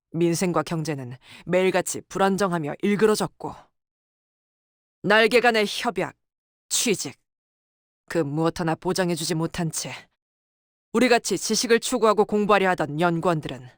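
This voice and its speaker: A woman's voice: on the low side, no echo, clean studio sound, close to an everyday voice. A woman voicing a proud, stern female military tactician in a game, with clipped, formal, commanding delivery. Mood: grave, measured. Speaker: game character voice. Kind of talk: dramatic scripted game dialogue. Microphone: close, dry studio microphone.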